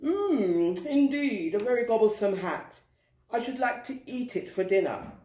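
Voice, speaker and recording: A woman's voice: a little high, big pitch swings, measured pace, mellow, reverberant. A woman voicing a greedy, hungry male crocodile in a children's story, drawling and relishing the words.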